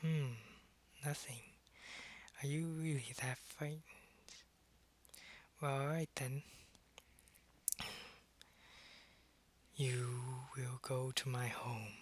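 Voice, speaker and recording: Man acting a slow, suave, menacing ancient vampire. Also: mid-range voice, steady tone, a bit bright. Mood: possessive, mocking, then commanding, calm. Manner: slow, deliberate, taunting, condescending. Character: centuries-old male vampire, suave, menacing, possessive, gloating captor. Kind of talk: ASMR roleplay monologue.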